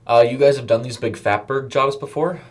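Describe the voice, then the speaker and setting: A man's voice: mid-range voice, brisk, voice pushed up, natural voice. A man voicing an unsure, fresh-faced young male rookie worker who speaks hesitantly in an audio story.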